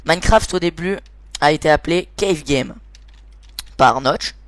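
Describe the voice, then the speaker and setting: A man's voice: fairly high pitch, measured pace, fairly bright tone, dry recording. A man giving an informal explainer commentary over gameplay as the host of a gaming series, hesitant and often searching for his words.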